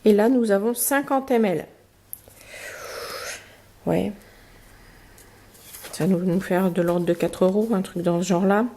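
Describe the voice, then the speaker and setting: A woman with a cold and a blocked nose, chatting through a beauty box review with many pauses and fillers and reading product cards aloud. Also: mid-range voice, dark tone. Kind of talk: casual unboxing review.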